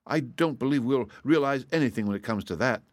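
A man's voice: medium pitch, a little fast, dry recording, voice pushed up, natural voice. A man voicing a brave, cheerful little girl adventurer who explains things in a confident, matter-of-fact way for a storybook.

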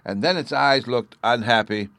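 A man's voice: medium pitch, moderate pace, fairly bright tone, no room sound, pitched above the performer's own voice, lightly acted. A man voicing an eager, stubborn little fairy boy with a babyish lisp, in an audiobook. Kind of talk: audiobook character voice.

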